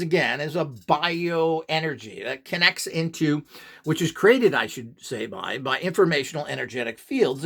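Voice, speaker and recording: Male instructor with a slightly high, highly animated voice; dismissive, ranting delivery. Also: creaky. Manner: scornful, ranting, full of rhetorical questions. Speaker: radionics instructor. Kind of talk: opinionated instructional monologue.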